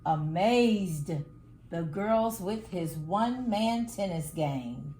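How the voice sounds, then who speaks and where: A woman reads a picture book aloud to children as a storyteller, with deliberate, dramatic phrasing. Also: fairly low pitch, animated, dark tone, slight background noise. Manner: deliberate, dramatic phrasing with acted sound words. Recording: echoey, roomy sound.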